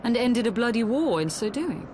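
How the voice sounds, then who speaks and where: A woman's voice: on the high side, very animated, normal speed, warm tone, slight background noise. An actress voicing a solemn, idealistic young female rebel leader in a video game, earnest and formal. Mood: solemn regret.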